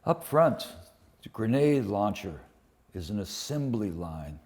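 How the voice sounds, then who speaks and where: A man reads poetry to a live gathering at a very unhurried pace. His phrasing is deliberate and dramatic, with weighty pauses, and he shifts into informal introductions in the same measured way. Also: fairly low pitch, big pitch swings, a little mellow. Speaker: poetry reader and presenter. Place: public poetry reading at a museum.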